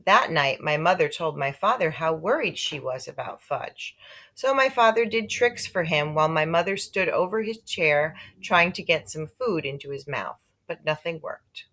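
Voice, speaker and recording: A woman narrates a children's story as a read-aloud. Her voice sits slightly low but moves around a lot, giving the telling an expressive, animated shape. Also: normal speed. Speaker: story reader.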